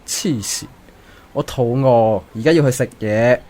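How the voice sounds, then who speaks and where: A man's voice: medium pitch, a little slow, mellow, natural voice. A man acting in a Cantonese radio drama as a cocky, impulsive seventeen-year-old schoolboy with a Hong Kong accent. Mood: defiant, annoyed.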